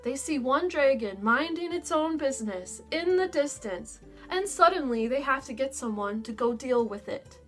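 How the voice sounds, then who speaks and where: A woman's voice: sits high, lively intonation, moderate pace, few pauses, soft background music. A woman playing a sarcastic, irritable young female dragon warrior in a fan fiction audio drama, snide and impatient. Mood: resentful.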